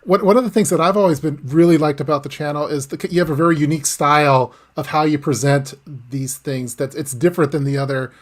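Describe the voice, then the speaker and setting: A man hosting a casual live interview, talking in a halting way with lots of restarts and small laughs. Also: on the high side. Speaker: host.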